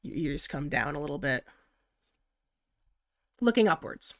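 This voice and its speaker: A woman's voice: sits low, big pitch swings, unhurried. Stuffed-up young woman streamer. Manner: chatty and giggly, often reading chat aloud. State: stuffed-up nose from a recent flu. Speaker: streamer teaching digital art. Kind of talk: casual live-stream tutorial.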